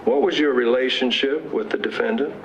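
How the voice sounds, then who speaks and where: A man's voice: mid-range voice, a little fast, highs cut off, background noise, natural voice. An actor playing a sardonic, showy man who runs a makeshift courtroom prosecution in a soap drama, with folksy sarcastic slang. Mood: probing, brisk. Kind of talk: television soap drama acting.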